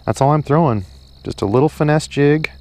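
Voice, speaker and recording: A man's voice: on the high side, a little fast, a little mellow. A young man chatting casually and upbeat while fishing outdoors from a pond bank, filmed on a head-mounted action camera. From Iowa, USA. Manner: chatty, upbeat, folksy sayings. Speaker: fishing vlogger.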